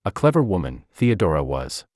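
A man with a very low voice reads a personal essay aloud at a measured, reflective pace. His pitch rises and falls a great deal as he reads. The recording is close and dry. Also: very animated, a little mellow, no echo. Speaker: article reader.

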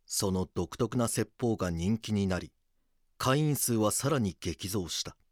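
A man reading scripted documentary drama narration in a solemn, measured manner. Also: fairly low pitch, animated, dry recording, clean studio sound.

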